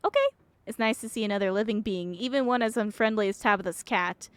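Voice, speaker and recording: A woman streamer reading a game aloud and reacting to it in an expressive, emotional way. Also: medium pitch, fast talker, clear, resonant tone, no room sound.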